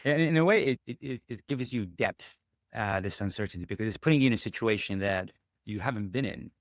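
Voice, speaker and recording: A man's voice: on the low side, animated, fairly quick, no room sound, studio quality. A man hosting an interview podcast, musing thoughtfully, often with hesitant restarts. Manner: thoughtful, hesitant with repeated starts. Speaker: podcast host. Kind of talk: conversational interview.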